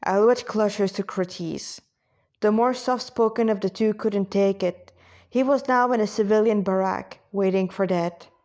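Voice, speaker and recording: A woman's voice: medium pitch, unhurried, darkish tone. A woman reading a story aloud as an amateur narrator, informal, with stumbles, self-corrections and casual asides. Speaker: amateur storyteller reading own fan fiction.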